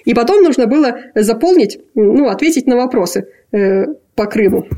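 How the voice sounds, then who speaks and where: A young woman from Kazakhstan, a graduate student, talking casually in an interview with many pauses and fillers. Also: fairly high pitch, lively intonation, dark tone. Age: young adult. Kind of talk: casual conversation.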